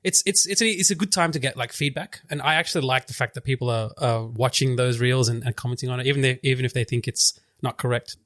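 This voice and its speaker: A man's voice: mid-range voice, a bit bright, dry recording. A man with a nasal, stuffy voice from a cold, chatting eagerly as a podcast guest. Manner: quick, eager, repeats words as he talks. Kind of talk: casual podcast chat.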